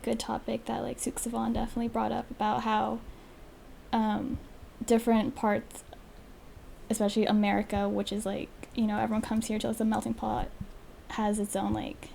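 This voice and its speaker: A woman's voice: fairly high pitch, gravelly, mellow, slight background noise. A young woman speaking in a casual podcast discussion, hesitant and full of ums and uhs. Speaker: podcast panelist.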